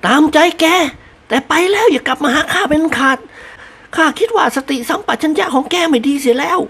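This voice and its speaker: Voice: animated, a little fast, a bit bright. ผู้อ่านดัดเสียงเป็น คุณหญิงสูงวัยปากจัด เสียงแหลมสูง. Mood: scolding. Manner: scolding, exclaiming, high and shrill. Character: sharp-tongued elderly noblewoman, bossy, scolding. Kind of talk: audiobook character voice.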